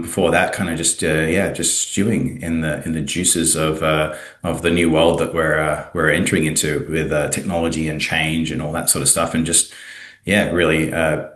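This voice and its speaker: A man in his thirties with an Australian accent, a guest in a casual interview, talking haltingly with many fillers and restarts. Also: a bass voice, a bit bright, reverberant. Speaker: guest, academic and author.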